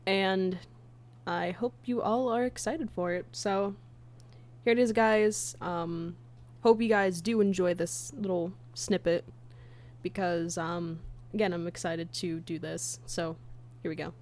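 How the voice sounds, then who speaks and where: A woman's voice: on the low side, steady tone, a little slow, darkish tone. Chatty woman streamer with a casual delivery. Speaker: streamer, fan comic dub creator. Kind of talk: casual livestream chat.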